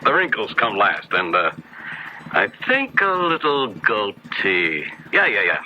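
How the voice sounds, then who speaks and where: A man's voice: lowish voice, wildly swooping pitch, full character voice. An actor playing a genial male make-up artist who muses and halts as he works, in a 1970s radio drama. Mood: absorbed, musing. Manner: musing, halting, then exclamatory. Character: genial, brisk make-up artist absorbed in his craft. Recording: noisy, dull old radio recording.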